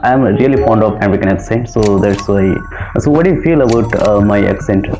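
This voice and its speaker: A man with an Indian accent practicing English with a tutor over a video call, polite and hesitant, pausing on "uh" and saying "ma'am". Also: on the low side. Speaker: English learner. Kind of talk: casual lesson chat. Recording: dull lo-fi call audio with faint background music.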